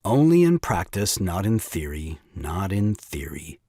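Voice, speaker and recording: A man's voice: low voice, very animated, measured pace, dark tone, slightly rough, dry recording, full character voice. An actor playing a self-pitying, exasperated new father in an audio farce, with grandiloquent, melodramatic delivery. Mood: exasperated ranting. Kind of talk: theatrical audio drama farce acting.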